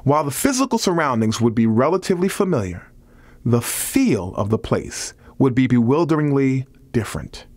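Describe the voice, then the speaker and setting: A man reads scripted nonfiction as an audiobook narrator, with measured, deliberate phrasing. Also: mid-range voice, big pitch swings, a little mellow, no echo.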